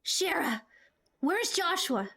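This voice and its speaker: A woman's voice: very high-pitched, very animated, takes it slowly, sharp tone, voice pushed up, full character voice. A woman voicing an impulsive, anxious teenage heroine in game cutscenes, urgent and stammering. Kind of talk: amateur game cutscene voice acting. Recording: music behind the voice.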